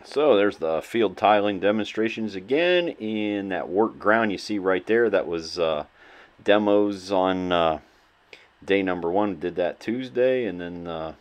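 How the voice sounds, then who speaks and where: Man from Illinois, very low voice, loose chatty voice-over, lots of "uh". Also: a little slow. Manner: relaxed, rambling, many "uh" fillers. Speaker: farmhand vlogger. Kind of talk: casual voice-over narration.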